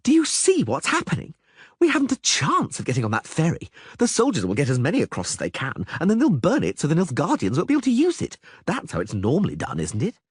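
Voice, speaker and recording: A man voicing a frantic young male bard who pleads and dramatizes, in a husky voice. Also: fairly high pitch, huge pitch swings, fast talker, no room sound, clean studio sound, pitched above the performer's own voice, heavily acted. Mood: panicked. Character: panicky excitable male bard, young adult.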